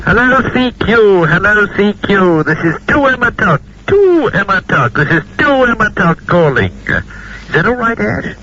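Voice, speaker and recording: An actor playing a flustered, jokey 1920s radio announcer, hesitant and rambling in a recreated early broadcast. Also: male voice, sits high, very animated, a little slow, pushed and bright, heavily acted. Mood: excited fussing. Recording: dull radio sound, crowd noise behind.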